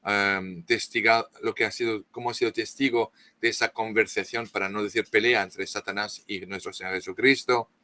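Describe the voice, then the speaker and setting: French-accented man, bright low voice. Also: steady tone. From France. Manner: halting, many restarts and fillers. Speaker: guest author. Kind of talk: interview, lecturing.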